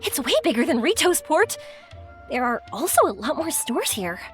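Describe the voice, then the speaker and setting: Excitable friendly young woman, very high voice, lively swooping intonation, bubbly, video game character. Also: normal speed, music in the background. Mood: excited. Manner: bubbly, animated, expressive.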